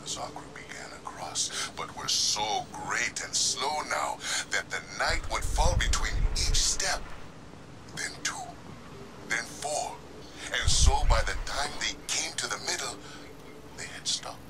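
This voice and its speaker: An actor playing a solemn storyteller who recounts an ancient legend in a hushed voice for a video game audio log. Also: male voice, medium pitch, animated, slow, in a whisper, low-quality recording. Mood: solemn, wistful.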